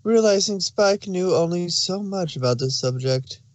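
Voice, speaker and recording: A man's voice: sits high, animated, a little slow, a little mellow, no room sound. A man reading scripted story narration as the narrator.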